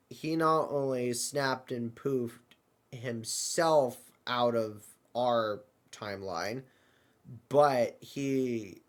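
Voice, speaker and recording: A man hosting an improvised comedy skit, talking in a halting, bemused manner. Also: mid-range voice. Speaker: host of a comedy web series.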